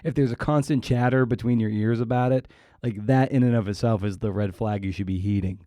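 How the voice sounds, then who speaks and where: Male interviewer, mellow voice, dry close sound. Casual, reflective, halting delivery. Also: lowish voice, dry recording. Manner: halting, reflective, thinking aloud. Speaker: podcast host, interviewer.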